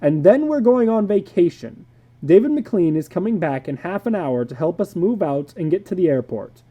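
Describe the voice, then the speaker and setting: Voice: medium pitch, lively intonation, moderate pace, dark tone, slightly rough, dull audio, pitched above the performer's own voice. A performer voicing a fed-up, stern husband and father, speaking emphatically and with exasperation. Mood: exasperated.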